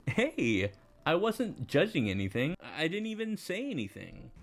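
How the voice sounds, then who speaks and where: A man playing an awkward, mild high school boy, narrating in first person with a hesitant, understated delivery. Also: huge pitch swings, a little slow, exaggerated voice. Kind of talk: first-person visual novel narration and dialogue.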